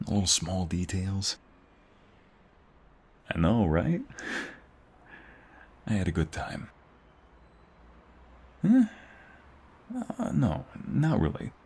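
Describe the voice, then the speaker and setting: Casting: male actor for devoted, teasing young husband, intimate ASMR roleplay. Deep register, unhurried, tender and hushed, with gravelly husk. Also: big pitch swings, full character voice. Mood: relaxed, warm. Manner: tender, teasing, hushed, lingering delivery with sighs and soft chuckles. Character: affectionate, teasing young husband, mobster's son on the run.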